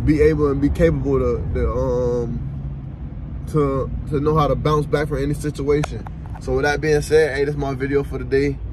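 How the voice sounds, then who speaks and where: A twenty-year-old man, talking in a casual, laid-back motivational vlog from inside a car. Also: mid-range voice. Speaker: vlogger. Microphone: phone held low at arm's length. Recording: phone recording with car noise. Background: car and road noise.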